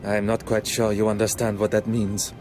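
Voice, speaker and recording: A man acts out a courteous, self-assured game detective with a Belgian French accent. His voice sits low, and he picks his words precisely and formally. Music plays clearly behind him. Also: monotone, a little fast, lightly acted. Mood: measured, probing. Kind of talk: scripted game dialogue and narration.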